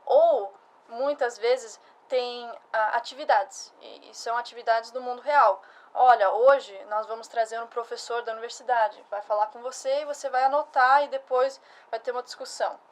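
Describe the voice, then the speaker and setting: Woman in her thirties, academic director type, explains patiently, voice a bit high. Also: normal speed. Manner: explanatory, uses fillers like "ah" and "né". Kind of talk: casual interview answers.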